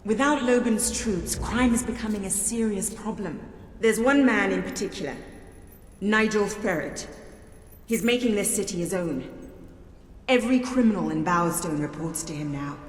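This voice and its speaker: A woman plays a resolute rebel leader in a dramatic video game. She is firm and businesslike at a middle pitch. The recording is very echoey with faint noise. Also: normal speed, lightly acted. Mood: earnest, urgent. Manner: earnest, firm, plain-spoken.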